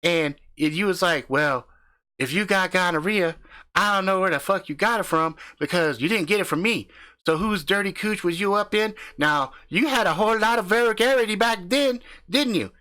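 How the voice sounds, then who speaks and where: A man voicing a loud, crude, profane husband who hunts ghosts with his wife, swaggering and brash. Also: high voice, moderate pace, voice pushed up. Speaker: comedic character.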